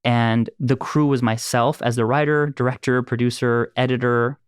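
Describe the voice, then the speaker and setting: An animated man in his thirties from Los Angeles tells stories about his early filmmaking days with a resonant, smooth voice. His pitch sits in a medium range, and the sound is close and dry. He laughs easily and keeps the conversation light. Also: moderate pace, dry recording. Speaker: filmmaker and producer. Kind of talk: casual conversation, storytelling.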